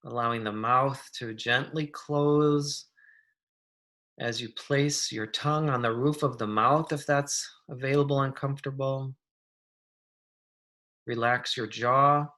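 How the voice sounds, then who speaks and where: Meditation guide, medium pitch: soft-mannered, soothing, unhurried, leaving long pauses between gentle instructions. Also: steady tone.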